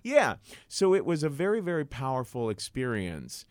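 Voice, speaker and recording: An American man from Cincinnati telling personal stories in conversation as a guest, with dramatic delivery and emphatic pauses. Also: mid-range voice, huge pitch swings, a little mellow, clear, resonant tone, no echo, clean studio sound. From Cincinnati, United States. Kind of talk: conversational storytelling.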